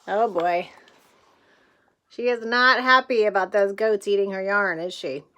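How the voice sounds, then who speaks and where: A woman's voice: medium pitch, unhurried, bright, forceful tone, dull audio. A woman teacher reading a picture book aloud to young children in a playful way, with chatty asides and questions.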